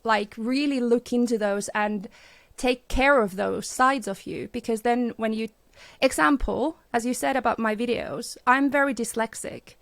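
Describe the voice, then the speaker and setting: A woman's voice: on the high side, measured pace, vocal fry, no room sound. A woman in her thirties from Finland with a Finnish accent, a guest speaking candidly and reflectively in casual conversation, laughing often.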